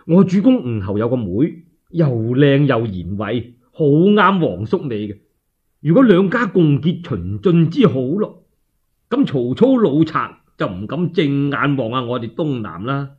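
A man voicing a smooth, persuasive matchmaking envoy with a Cantonese accent, courteous and emphatic, in a radio story. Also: mid-range voice, phone-quality sound.

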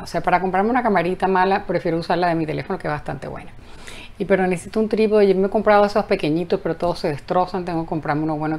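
A woman's voice: on the low side, darkish tone. A woman in her fifties giving a casual mantra lesson, talking into her phone camera in her apartment bedroom. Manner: talkative, frequent self-restarts, slow chanting of mantra. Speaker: mantra teacher. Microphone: phone camera at close range.

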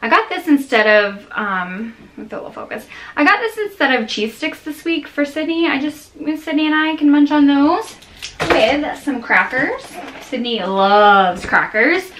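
A woman's voice: high voice, animated, measured pace, a bit bright, slight background noise. A woman in her thirties chatting casually to camera in her home kitchen, rambling with many restarts and fillers, sounding tired. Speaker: vlogger. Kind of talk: casual grocery haul chat. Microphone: camera on a counter at close range.

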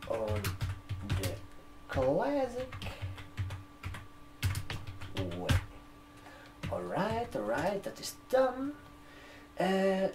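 A man in his twenties doing an ASMR role-play as a barber shop receptionist, talking to a customer in a halting, repetitive way. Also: high voice, darkish tone, a little hiss or hum. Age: 20s. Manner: slow, halting, repeating words while typing. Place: room with sofas, indoors. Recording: roomy echo, keyboard typing. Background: computer keyboard typing.

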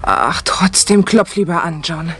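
An actress playing an uneasy, cautious wife in a 1960 German crime radio play. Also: female voice, lowish voice, animated, measured pace, a bit bright. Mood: practical. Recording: faintly noisy 1960 vinyl radio play.

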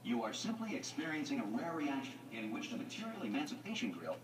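A man voicing a calm, pre-recorded facility announcer in a video game, measured and formal. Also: medium pitch, crowd noise, lightly acted. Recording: game audio from TV speaker, roomy and distant.

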